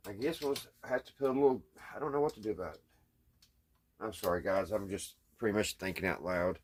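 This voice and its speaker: A man chatting casually in a halting, puzzled, folksy way, thinking out loud as he tinkers. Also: fairly low pitch, a little hiss or hum. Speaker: host of a radio repair hobby channel. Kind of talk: casual hands-on repair chat, thinking out loud.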